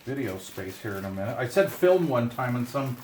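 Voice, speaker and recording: A middle-aged or older man chatting casually to viewers while unboxing, excited and rambling, often talking to himself. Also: mid-range voice, fairly quick, slight background noise, poor audio. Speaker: hobbyist video host. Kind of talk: casual unboxing chat to camera.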